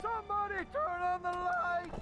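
A man playing a bewildered, nervous little fellow in a supernatural comedy film, flustered and excitable. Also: extremely high pitch. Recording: loud film music over dialogue.

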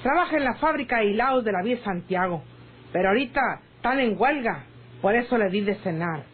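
An actress playing a hard-working, plain-spoken Mexican country cook in an old radio drama. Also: female voice, a little high, animated, a little fast, breathy edge. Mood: hesitant. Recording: tinny old radio recording, faint noise.

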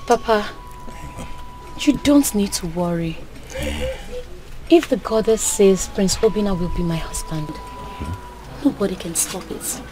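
A woman playing a calm daughter reassuring her father. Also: mid-range voice, unhurried, pauses often, lightly acted, poor audio. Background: background music.

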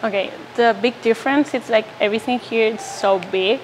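A woman's voice: a little high, fairly quick, poor audio. A teenage girl from Spain speaks English. She fills gaps with "like" as she hunts for words. Faint outdoor noise sits behind her. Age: teens. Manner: says "like" constantly, searching for words. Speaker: exchange student. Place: outdoors by a stone wall.

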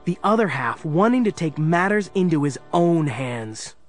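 Higher-pitched male story narrator. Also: very animated, normal speed, faint music behind. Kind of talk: dramatic scripted storytelling narration.

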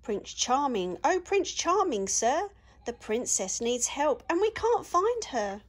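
A woman's voice: high-pitched, big pitch swings, slow pace, pitched above the performer's own voice, exaggerated voice. A woman voicing an earnest young boy hero in a fairy-tale picture book, calling out urgently. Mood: calling, pleading.

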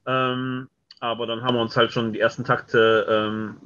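A man's voice: medium pitch, little pitch movement, normal speed, fairly bright tone. A middle-aged man telling anecdotes casually as an interview guest on a video call. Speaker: interview guest, scriptwriter and director. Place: indoor home room, video call. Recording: dull, lo-fi video call audio.

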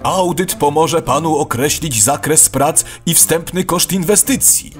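An actor playing a patient but exasperated, practical neighbour who explains things emphatically in a radio play. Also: male voice, a little high, unhurried, faint music behind, lightly acted. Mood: persuasive. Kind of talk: scripted radio play dialogue.

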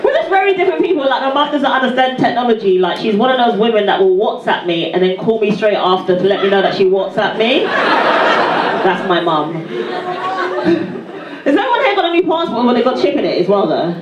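A woman's voice: a little high, big pitch swings, fairly quick, heavy background noise. Punchy British comedian woman, roomy club. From Barbados. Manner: punchy, teasing the crowd. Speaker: stand-up comedian. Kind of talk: stand-up set. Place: small dark comedy club stage. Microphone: handheld mic. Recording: roomy club sound, far and dull. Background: loud crowd laughter.

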